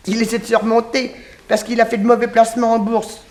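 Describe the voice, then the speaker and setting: A woman's voice: fairly high pitch, level tone, fast talker, darkish tone, slight background noise, lightly acted. An actress playing a nosy, gossipy female housekeeper in a 1960s French radio drama, confiding and pitying.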